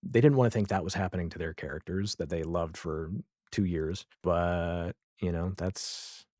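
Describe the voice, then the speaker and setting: A man's voice: low-pitched, moderate pace, a little mellow, dry recording, clean studio sound. A man talking casually as a podcast guest, telling stories in an informal, opinionated way. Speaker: podcast guest, audio drama creator. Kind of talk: casual interview chat.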